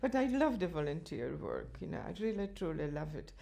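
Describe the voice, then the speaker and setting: An older woman from Poland with a Polish accent, chatting about her volunteer work in an interview, laughing often and speaking in halting, non-native sentences. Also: low voice, sing-song, creaky, dark tone. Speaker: interviewee, cultural association chair. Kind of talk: reminiscing interview answers. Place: living room at home, indoors.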